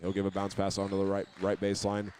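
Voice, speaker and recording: A man's voice: completely flat delivery, quick pace, darkish tone, a little hiss or hum. A man with an American accent giving live play-by-play radio commentary of a basketball game. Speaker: play-by-play announcer. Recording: clear gym ambience and ball bounces. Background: live basketball game in a high school gym.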